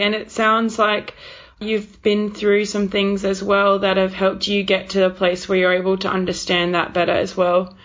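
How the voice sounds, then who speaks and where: A young woman interviewing casually, affirming her guest in an informal, conversational way. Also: medium pitch. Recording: dull, lo-fi audio.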